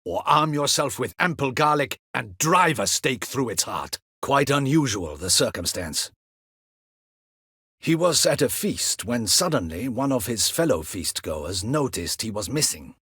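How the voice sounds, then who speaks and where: An actor playing a stern, proud, dutiful captain of a ducal guard in a fantasy video game, with a formal, clipped, haughty delivery and a husky voice. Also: male voice, medium pitch, sing-song, a little slow, bright, forceful tone, no room sound, clean studio sound, heavily acted. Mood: mocking.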